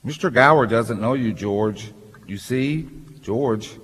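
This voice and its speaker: An actor playing a gentle, earnest old guardian angel, patiently explaining in a stage radio play. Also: male voice, medium pitch, takes it slowly. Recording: reverberant hall stage recording.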